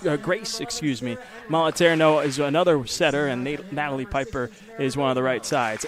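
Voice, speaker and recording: A man calling a college volleyball match as play-by-play announcer, giving lineups and background in a steady, informative way. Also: on the high side. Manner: steady informative delivery with occasional stumbles over names. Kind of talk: live sports commentary.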